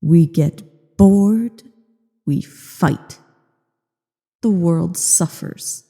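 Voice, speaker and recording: Woman voicing a slow, dark, husky dragoness. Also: exaggerated voice. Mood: cold, menacing. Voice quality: low, husky, creaky. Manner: haughty, disdainful, deliberate. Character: ancient evil female dragon, haughty, bored, menacing. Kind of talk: ASMR roleplay, slow dramatic monologue. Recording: long cavernous reverb.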